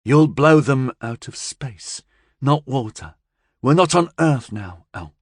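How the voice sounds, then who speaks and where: A man's voice: medium pitch, expressive, slow, dry recording, studio quality, pitched above the performer's own voice. A man voicing a cool, confident gangster's woman in an audiobook, speaking in a firm, assured, coaxing way.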